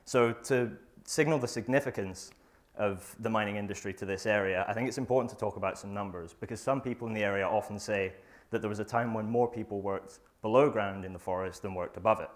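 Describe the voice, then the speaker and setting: A young man presenting his research in a lecture theatre, reading from notes with a lapel mic, often pausing with fillers and restarting phrases. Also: lowish voice. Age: young adult. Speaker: student presenter. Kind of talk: academic conference talk.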